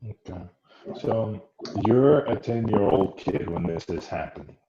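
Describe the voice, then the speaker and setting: A man's voice: lowish voice, a crowd in the background. A man hosting an interview podcast. He often restarts a thought and asks several questions in a row. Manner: talks slowly, stacks up questions with restarts. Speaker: podcast host. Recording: roomy, muffled audio with faint noise.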